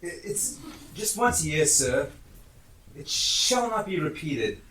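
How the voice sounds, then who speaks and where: A man's voice: on the high side, big pitch swings, slow, pushed and bright, a little hiss or hum, full character voice. A man acting a timid, apologetic clerk in an amateur stage play, hesitant and meek in his delivery. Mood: nervous, sorry. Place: theatre stage, live performance. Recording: echoey distant live stage recording.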